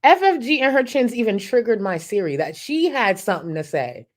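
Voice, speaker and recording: A woman's voice: mid-range voice, very animated, a little fast. A woman streaming live, reacting to a video and reading out super chats with sassy, profane, mocking commentary and frequent laughter. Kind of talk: casual livestream commentary.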